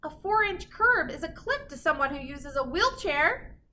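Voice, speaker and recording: A woman reading a children's picture book aloud in an animated, emphatic storytelling manner. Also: high-pitched, moderate pace. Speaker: children's story reader, teacher.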